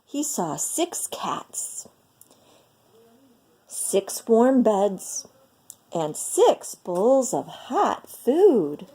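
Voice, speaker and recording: A woman reads a children's story aloud with a lively, swooping melody. Her voice is mellow and she takes her time, leaning into the phrases with dramatic, deliberate delivery. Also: on the high side, slightly rough. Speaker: storyteller reading a picture book aloud. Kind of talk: expressive children's read-aloud.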